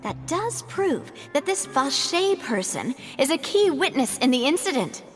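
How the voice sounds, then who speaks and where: A high, very expressive young woman, poised, wry and determined as a leader should be. Her measured speech rings with a long reverb. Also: faint music behind. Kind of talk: video game voice acting.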